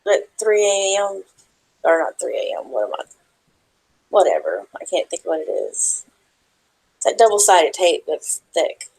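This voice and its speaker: Gravelly woman chiming in on a chat. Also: fairly low pitch, very animated, unhurried, long pauses, a little mellow, low-quality recording. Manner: supportive, chiming in with short replies. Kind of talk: casual live chat.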